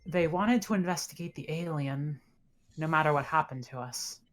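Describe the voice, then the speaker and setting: A decisive female spaceship officer, acted by a woman at a table read. Her voice is low, with a firm, blunt delivery that gives orders and takes little on trust. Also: moderate pace, natural voice. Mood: distrustful. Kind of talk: dramatic table read of a film script.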